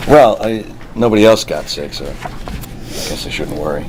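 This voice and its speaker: An actor playing an outwardly cheery, middle-aged brother-in-law, chatty and halting in a table read of a TV drama. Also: male voice, very deep voice, animated, heavy background noise. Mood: solicitous, probing.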